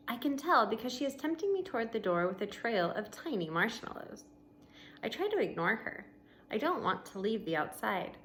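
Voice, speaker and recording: A woman with a fairly high voice reads a children's story aloud in the manner of a schoolteacher. She is expressive, pauses deliberately for drama and slips into casual explanation. A faint noise sits behind her in the recording. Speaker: teacher reading aloud to pupils. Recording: noticeable background noise.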